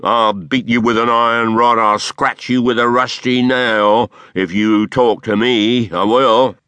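Man voicing a spiteful leering dwarf, slow snarling taunts, bone-dry sound. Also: on the high side, animated, pushed and bright, no echo, pitched above the performer's own voice. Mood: mocking menace. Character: malicious sneering male dwarf, cruel, mocking.

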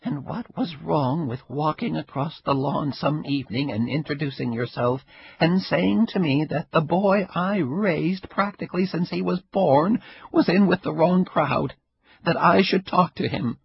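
A man voicing a frail, stubborn elderly grandmother with a sharp tongue, in a husky raised voice. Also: fairly high pitch, measured pace, pitched above the performer's own voice, heavily acted. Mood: aggrieved. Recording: dull lo-fi recording.

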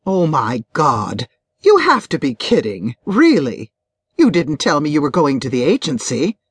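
A woman's voice: sits low, wildly swooping pitch, unhurried, slightly rough, no echo, studio quality, heavily acted. A woman voicing a blunt, gossipy, crude female confidante in an audiobook, with a brash, scolding manner. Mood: avidly curious.

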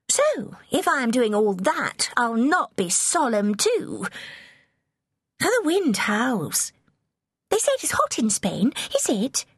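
A woman's voice: fairly high pitch, slightly rough, no room sound, studio quality, pitched above the performer's own voice, full character voice. A woman voicing a young English princess, girlish, vivacious and impulsive, in an audiobook. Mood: wistful, curious. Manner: gushing, emphatic, rapid changes of feeling.

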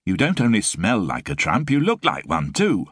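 A man's voice: fairly low pitch, huge pitch swings, moderate pace, fairly bright tone, no room sound, clean studio sound, voice pushed up, full character voice. A man voicing an exasperated young mother who scolds her lodger. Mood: scolding. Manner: nagging, scolding. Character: exasperated young woman, sharp-tongued single mother. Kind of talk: audiobook character voice.

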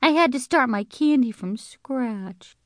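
A woman voicing a boastful little schoolboy who talks loud and blustery. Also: sits high, lively intonation, unhurried, no echo, studio quality, voice pushed up.

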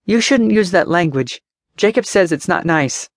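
A bright, sing-song little boy, put on by a man narrating a story, chirpy and polite with a strange, eerie innocence. His high voice swings widely up and down, and it is recorded close and dry. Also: animated, moderate pace, no echo. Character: cheerful eerie little boy with an imaginary friend.

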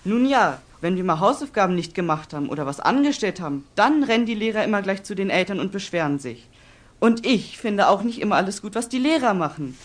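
A woman acting a wry, self-righteous pupil in a children's audio comedy. The voice has a middle pitch with lively movement and an earnest delivery. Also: brisk, close to an everyday voice. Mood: indignant. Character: self-righteous, wry schoolchild named Sascha.

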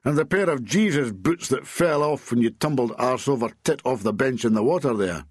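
A man's voice: mid-range voice, lively intonation, brisk, breathy edge, no room sound, clean studio sound, voice pushed up. A man voicing a grumpy, sarcastic police boss who snarls and scolds in exasperation.

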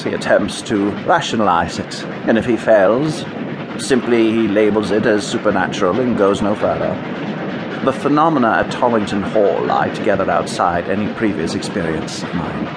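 An actor playing a grave, scholarly gentleman detective of the supernatural in a radio drama, with formal, precise phrasing. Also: on the low side, normal speed, noisy background, lightly acted. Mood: grave, earnest. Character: measured gentleman ghost hunter, grave and scholarly psychical detective.

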